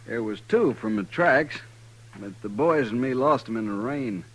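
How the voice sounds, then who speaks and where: An actor playing a modest small-time cattle rancher in a 1950s radio western, with a plain, reserved Western American way of speaking. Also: male voice, mid-range voice, lively intonation, moderate pace, poor audio. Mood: troubled, reluctant.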